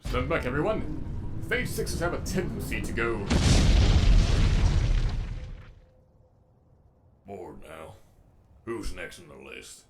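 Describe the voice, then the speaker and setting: A man's voice: on the low side, wildly swooping pitch, unhurried, very noisy, full character voice. A man voicing a sadistic, erudite robot villain with an eloquent, menacingly polite delivery, as in a comic dub. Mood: cold, brisk.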